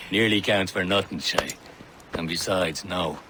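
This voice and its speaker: Game casting: bantering assassin friend, deep-ish dry voice, noticeable background ambience. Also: male voice, slow pace. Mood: teasing. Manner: wry, bantering. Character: dry, teasing older-brotherly assassin friend. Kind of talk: video game cutscene acting. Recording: clear ambient noise behind dialogue.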